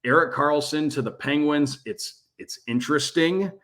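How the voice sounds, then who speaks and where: A man's voice: a little high, moderate pace, fairly bright tone. A man who works as a radio play-by-play broadcaster, guesting on a casual sports podcast and talking through his analysis in long, flowing runs.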